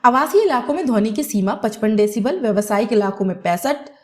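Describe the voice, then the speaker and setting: Higher-pitched woman reading scripted news. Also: expressive, fairly quick. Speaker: news narrator.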